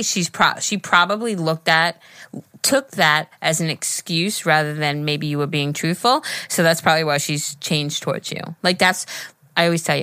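An American woman, middle-aged, co-hosting a casual podcast where she chats and reads listener letters aloud with animated asides. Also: on the low side, smooth, dry recording, clean studio sound. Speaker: podcast co-host.

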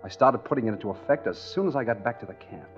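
A man's voice: mid-range voice, expressive, quick pace, lightly acted. An American actor playing a tough, scheming engineer who tells his own swindle in hard-boiled 1940s radio drama style. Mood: hard-boiled, confiding. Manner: hard-boiled first-person storytelling. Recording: dull 1940s radio recording with music.

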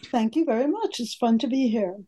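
An older woman historian answering interview questions, halting, with many fillers. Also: on the high side, expressive, dark tone, breathy edge. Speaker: historian guest.

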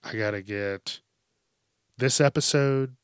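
A man's voice: mid-range voice, takes it slowly, clean tone, dry recording. A congested man hosting a solo podcast, talking casually in blunt, emphatic, profanity-laced rants into a close microphone. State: congested from allergies. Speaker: podcast host. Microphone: close hypercardioid podcast microphone.

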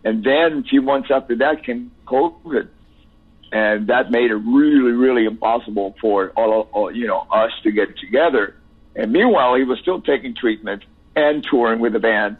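A man in his sixties with a Cuban accent, interviewed as a musician, speaking haltingly with many repeated words and "you know" fillers. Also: medium pitch, breathy edge. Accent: Cuban Spanish. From Cuba. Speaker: musician guest. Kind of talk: interview over the phone. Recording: tinny phone line.

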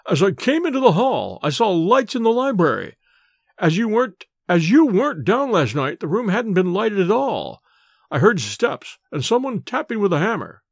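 A man voicing a dazed, injured manservant in a storybook reading, halting and deferential. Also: sits high, big pitch swings, vocal fry, no room sound, clean studio sound, voice pushed up, full character voice. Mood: pained, earnest.

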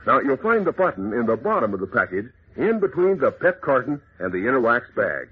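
Chummy vintage radio pitchman, thin lo-fi sound. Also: very animated, a little fast, breathy edge. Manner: chummy, folksy delivery. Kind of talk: 1940s radio commercial aimed at children.

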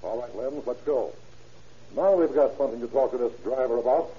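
Fast-talking hard-nosed police detective, a man acting. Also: mid-range voice, lightly acted. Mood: brisk, commanding. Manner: blunt, clipped, commanding. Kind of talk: 1930s radio acting. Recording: noisy, muffled old radio recording.

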